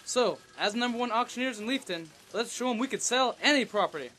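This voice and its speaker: A man's voice: very high-pitched, lively intonation, a little fast, sharp tone, a little hiss or hum, full character voice. A man playing a brash, overconfident auctioneer boss in an amateur radio play. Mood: boastful.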